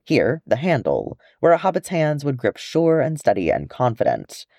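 A man reading a fantasy story aloud as expressive scripted narration. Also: medium pitch, normal speed, no echo, studio quality. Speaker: audiobook reader of fan fiction.

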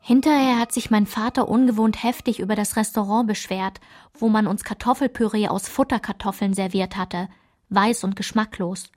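An actress playing a woman who recalls her painful girlhood, narrating a literary radio drama in a measured, reflective way. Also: mid-range voice, fairly even intonation, a little mellow, close to an everyday voice.

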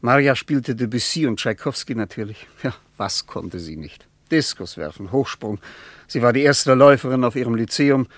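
Wistful ex-soldier, searching through memories. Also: male voice, mid-range voice, expressive, normal speed, no room sound. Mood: fond remembering. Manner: short, searching phrases. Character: wistful former soldier remembering a lost love. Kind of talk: radio play acting, halting reminiscence.

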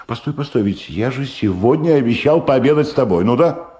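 A man's voice: medium pitch, animated, fairly quick, darkish tone, muffled recording, close to an everyday voice. An actor playing a busy, self-important middle-aged prosecutor and evasive father in a Soviet radio drama, with hesitant, blustering speech. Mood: harried, distracted.

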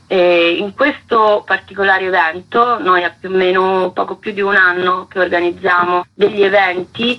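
A woman's voice: on the low side, husky. A woman speaking as a radio interview guest, explaining the work of her collective. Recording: dull lo-fi radio interview line.

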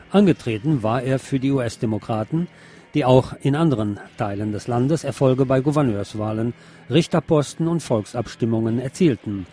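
A man's voice: medium pitch, dark tone. Male news presenter for scripted bulletins, mid-range voice.

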